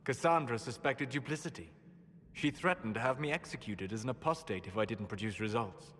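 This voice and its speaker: An actor voicing a reserved elven mage in a game, speaking in measured, formal phrases. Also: male voice, mid-range voice, lively intonation, fairly bright tone. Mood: reflective. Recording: game audio with clear background noise.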